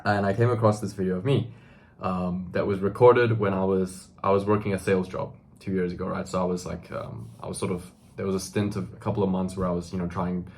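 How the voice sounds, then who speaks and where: A man's voice: low-pitched, flat delivery, fairly quick, a little mellow, a little hiss or hum. A man, earnestly addressing the camera in a self-improvement monologue full of "right?" tags and fillers. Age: 20s. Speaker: self-improvement video host.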